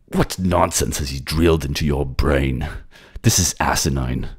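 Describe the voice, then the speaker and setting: A man's voice: very deep voice, measured pace, slightly rough, full character voice. A man voicing a violent, jealous captive king in an audio drama, snarling threats and commands. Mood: jealous, bitter.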